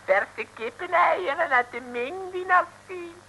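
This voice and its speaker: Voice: extremely high pitch, lively intonation, slow pace, bright, forceful tone, full character voice, poor audio. A performer in an old radio play voicing a falsely humble, fawning Chinese mandarin who speaks in broken pidgin phrases. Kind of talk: 1960s radio play acting.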